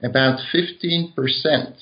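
A man with a Dutch accent, a professor and author, explaining history in a measured way with frequent ums and uhs. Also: mid-range voice. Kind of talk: podcast interview. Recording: dull lo-fi audio.

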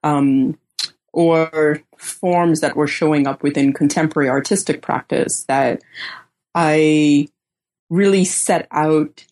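Bahamas-born woman guest, low voice, unhurried, thinks aloud with frequent ums. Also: fairly even intonation, a little mellow. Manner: slow and measured, many ums and pauses. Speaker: guest author. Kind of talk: thoughtful academic interview answers.

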